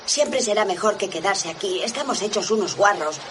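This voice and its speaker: A woman's voice: mid-range voice, animated, moderate pace, lightly acted. A woman dubbing a castaway boy in a film, dry and teasing in a matter-of-fact way, over outdoor ambience. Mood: wry. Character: boy castaway, wry and practical. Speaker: dub actor in a film clip. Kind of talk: dubbed film dialogue. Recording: film soundtrack with clear outdoor ambience noise.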